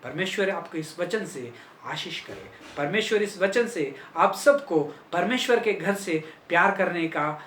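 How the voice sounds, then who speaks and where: Fervent high-voiced male preacher, echoey room. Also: expressive, measured pace, fairly bright tone, slight background noise. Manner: fervent, repetitive preaching with rising and falling emphasis. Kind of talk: sermon.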